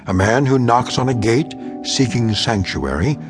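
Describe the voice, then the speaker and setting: A man acting as the narrator of an eerie radio drama, solemn, measured and portentous. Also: mid-range voice, expressive, music in the background, close to an everyday voice. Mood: solemn, ominous. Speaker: host narrator of radio anthology.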